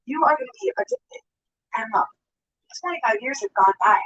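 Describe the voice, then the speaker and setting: A woman's voice: sits high, very animated, fairly quick, a bit bright, dull audio. A woman in a played vlog clip, earnestly pleading and confessing. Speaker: vlogger in clips.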